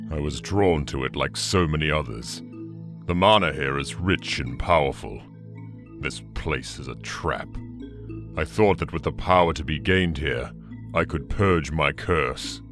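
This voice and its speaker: An actor playing an ancient, bitter demon conqueror in an audio drama, brooding and contemptuous in a menacing monologue. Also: male voice, rumbling low, fairly bright tone, exaggerated voice. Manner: slow, menacing, brooding delivery.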